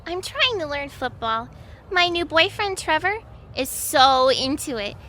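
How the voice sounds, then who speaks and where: Woman doing bubbly airhead waitress, really high girly voice, bright n perky, bit of bar noise behind. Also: very animated, unhurried, full character voice. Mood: perky, confused. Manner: naive, perky, muddled. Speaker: voice actor playing a bar waitress. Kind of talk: community audio theatre comedy acting.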